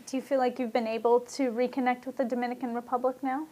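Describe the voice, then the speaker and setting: A woman's voice: fairly high pitch, little pitch movement, fast talker, warm tone. A woman interviewer asking plain, brief questions in an oral history interview.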